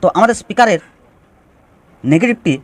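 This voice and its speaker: A man's voice: high-pitched, expressive, a little fast. A man giving an informal step-by-step DIY electronics tutorial, explaining and restating phrases as he goes.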